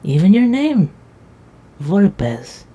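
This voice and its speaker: A woman's voice: medium pitch, big pitch swings, extremely slow, mellow, lo-fi sound, full character voice. A woman playing a sleepy, protective young knight who talks in a drowsy, affectionate way. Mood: tired. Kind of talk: casual roleplay dialogue.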